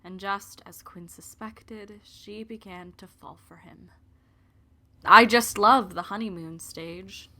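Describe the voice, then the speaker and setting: A woman's voice: medium pitch, takes it slowly, pushed and bright. A young woman playing an emotionally invested, digressive narrator of a romance in an audio drama. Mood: fond, wistful.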